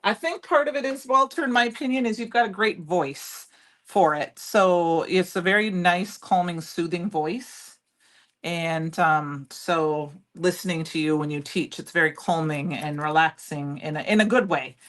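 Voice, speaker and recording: A middle-aged woman with a loud voice and a slightly low pitch hosts a live crafting stream. She talks in a chatty, rambling way while she works and answers viewer comments. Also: unhurried. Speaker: livestream craft host.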